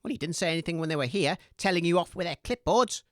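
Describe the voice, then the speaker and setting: A woman's voice: on the low side, fast, dry recording, clean studio sound, lightly acted. A woman playing a polite quizmaster co-hosting a comedy radio panel show. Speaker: co-host and quizmaster.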